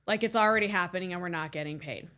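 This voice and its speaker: A woman's voice: lowish voice, fast talker, noisy background. A woman co-hosting a podcast in a casual chat, with a wry, dry sense of humor. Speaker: podcast co-host.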